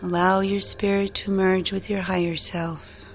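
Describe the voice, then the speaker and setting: A woman guiding a meditation in a gentle, soothing, unhurried way, leaving long pauses between phrases. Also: mid-range voice, level tone. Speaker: meditation guide. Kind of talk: guided meditation narration. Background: music.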